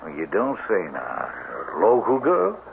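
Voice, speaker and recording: An actor in a radio drama playing a folksy, friendly but firm old country storekeeper with a Southern US accent and hesitant banter. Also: male voice, fairly low pitch, huge pitch swings, measured pace, vocal fry, exaggerated voice. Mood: prying, concerned. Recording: tinny, hissy old radio broadcast recording.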